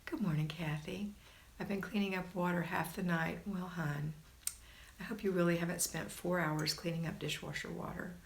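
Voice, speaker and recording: A woman's voice: fairly low pitch, steady tone, moderate pace, vocal fry. A middle-aged woman chatting live and reading out comments in an encouraging, folksy manner, like a homemaking coach. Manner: encouraging, folksy, addressing listeners as hun and honey. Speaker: livestream host and homemaking coach. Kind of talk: casual live chat, reading and answering viewer comments. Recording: roomy, echoing home recording.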